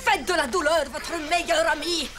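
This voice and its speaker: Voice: sits high, fairly even intonation, moderate pace, over music, lightly acted. An actor in a French cartoon dub playing a strict, pain-loving gym teacher with a commanding, grandiose manner. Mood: stern, relishing.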